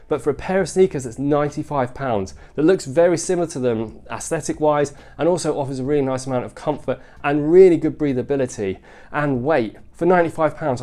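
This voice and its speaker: A man's voice: medium pitch, dark tone. A man with a bunged-up, congested nose, giving an upbeat sneaker review vlog and often repeating "really, really nice". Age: adult father.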